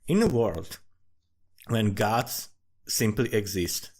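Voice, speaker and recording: A man's voice: lowish voice, sing-song, slow pace, darkish tone. A man giving a rambling, informal commentary on a fantasy world he is writing, swearing freely and often restarting his phrases. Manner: profane, informal, thinking aloud. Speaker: amateur fantasy writer.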